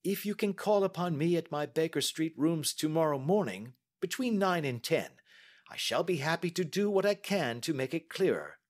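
A man's voice: fairly high pitch, animated, normal speed, voice pushed up. A man reading aloud and voicing a middle-aged male detective in a precise, formal, assured manner.

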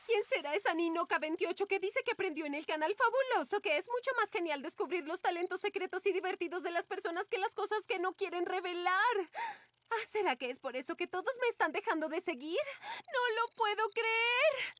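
A woman's voice: very high-pitched, animated, a little fast, fairly bright tone. A woman playing a vain, dramatic young model and internet diva in a cartoon, whiny and self-absorbed. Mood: haughty, puzzled, then distressed, shocked. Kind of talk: exaggerated cartoon dubbing.

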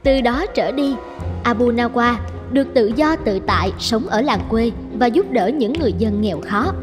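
A woman's voice: a little high, lively intonation, moderate pace, music in the background. A woman reading scripted narration for a folk tale, as its narrator. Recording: long echoing hall reverb.

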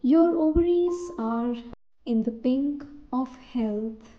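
A woman narrating a scripted guided meditation in a soothing, deliberate way, in short phrases with pauses between them. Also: sits high, lo-fi sound.

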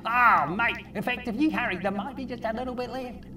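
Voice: medium pitch, brisk, pushed and bright, reverberant, distant mic, natural voice. A performer voicing a boastful, laid-back, cheerful crab in a children's puppet show, matey and colloquial. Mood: enthusiastic. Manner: matey, bragging, repetitive, colloquial.

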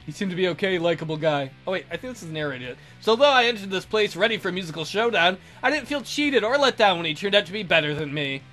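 A male let's player reads visual novel narration with a lively, moving pitch. He is informal and breaks off for offhand remarks. Guitar music plays behind him. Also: quick pace, few pauses. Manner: informal, self-interrupting. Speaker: let's play host. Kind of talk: reading visual novel narration with casual commentary.

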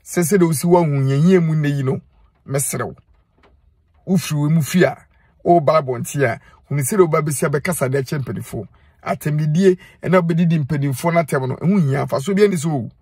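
A middle-aged Ghanaian man with a husky, slightly raised voice talks straight into a phone held close inside a parked car. He lectures and admonishes in earnest, repeating his points for emphasis. The sound is dry and close. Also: on the high side, brisk, a little mellow, no echo. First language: Twi. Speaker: social media commentator. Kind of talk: direct-to-camera advice monologue.

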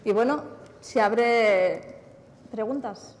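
A woman's voice: fairly high pitch, drawn-out words. Woman presenter in a reverberant hall. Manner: friendly, joking. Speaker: event presenter. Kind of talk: moderating a Q&A. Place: large banquet hall. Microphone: podium mic. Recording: hall reverb with faint noise.

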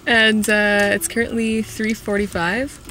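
A woman's voice: medium pitch, flat delivery, a little slow. A young woman narrating a casual road-trip vlog from inside a car, chatty and full of ums, filming with a camera at arm's length. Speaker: vlogger. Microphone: camera held at arm's length. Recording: road noise in a moving car.

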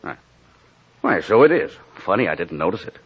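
An actor playing a sly, patient police inspector in an old-time radio drama, needling with drawn-out, feigned casualness. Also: male voice, sits low, big pitch swings, breathy edge, heavily acted. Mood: feigned innocence. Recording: dull, lo-fi old radio recording.

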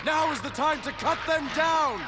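An actor playing a fierce, impassioned warrior in a fantasy game, forceful and exclaiming. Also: male voice, extremely high pitch, moderate pace, heavy background noise. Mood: alarmed, fierce. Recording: game audio under music. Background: orchestral music.

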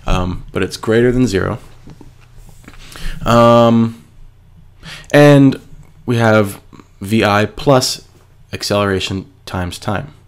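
A man's voice: fairly low pitch, takes it slowly, long pauses, clean tone. A male physics teacher, stuffy from a cold, walking through a worksheet step by step and answering his own questions. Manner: patient, step-by-step, asks and answers himself. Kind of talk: screencast lesson explaining a worksheet.

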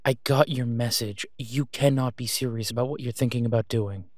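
A man playing an exasperated, protective vigilante in a phone-call audio roleplay, speaking urgently and emphatically, with pleading pauses. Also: medium pitch, steady tone, a little mellow, no room sound, studio quality, close to an everyday voice. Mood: exasperated, warning. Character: exasperated, protective masked male vigilante on patrol.

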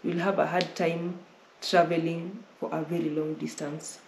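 A woman's voice: low-pitched, level tone, a little slow, gravelly, darkish tone. A young Kenyan woman hosting a casual Q&A, giving travel advice and often rephrasing as she explains. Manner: repeats and rephrases while explaining. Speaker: travel vlogger host. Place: indoor living room, on a sofa. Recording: roomy with faint noise.

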